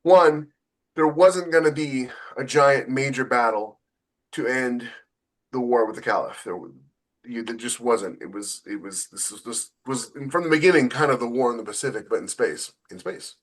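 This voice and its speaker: A man's voice: mid-range voice, moderate pace. A man talks in an informal podcast style, as an author explaining his own work. He thinks aloud, stopping and restarting as he builds his points, often repeating a phrase before moving on. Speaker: podcast co-host and novelist. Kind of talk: casual talk about own books.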